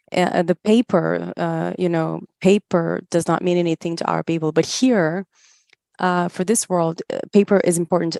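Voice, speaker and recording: A woman giving a live spoken interpretation of a talk, in halting phrases with pauses mid-thought. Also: fairly low pitch, vocal fry, darkish tone, no echo, studio quality. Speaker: interpreter voicing another speaker's words.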